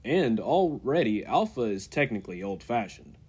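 A man playing an earnest, persuasive laboratory assistant in 1940s radio style, with a husky voice. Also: medium pitch, sing-song, normal speed, darkish tone. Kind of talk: 1940s radio drama acting.

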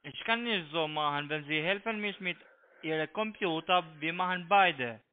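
A man with a foreign accent, not a native speaker, making a halting, repetitive phone pitch over a narrow tinny line. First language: not German. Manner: halting broken German, repetitive. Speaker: scam call-centre agent. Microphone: telephone.

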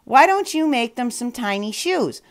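A woman reads as a shoemaker's wife in a fairy tale, kindly and grateful. She speaks eagerly and emphatically at a raised pitch. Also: big pitch swings, moderate pace.

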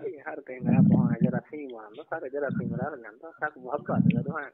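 A man's voice: mid-range voice, fairly quick, crowd noise. A man with a Saraiki accent chatting casually and intimately as a caller on a recorded phone call. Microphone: telephone line.

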